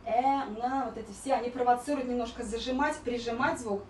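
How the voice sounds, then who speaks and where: Female vocal coach, higher voice, explanatory and demonstrative, distant in an echoey room. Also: fairly quick, a little hiss or hum. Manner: explanatory, demonstrating vowels and sung warm-ups. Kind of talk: informal spoken singing lesson with explanations. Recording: roomy echo, distant mic, music behind.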